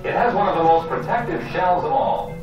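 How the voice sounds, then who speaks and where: A man's voice: high-pitched, a little fast, highs cut off. A man reading scripted educational nature narration, explaining things and addressing the viewer directly. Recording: echoey, distant, music behind.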